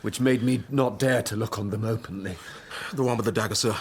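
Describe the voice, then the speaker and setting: A man's voice: lowish voice, fairly quick, slight background noise, lightly acted. An actor playing a humble, guarded male servant, deferential and plain-spoken, in a period radio drama. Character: Fortunatus, humble, guarded Moorish stable servant.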